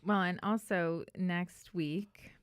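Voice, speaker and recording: A woman's voice: lowish voice, fairly even intonation, takes it slowly, clean tone, no room sound, clean studio sound. American woman co-host making casual announcements. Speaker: podcast co-host.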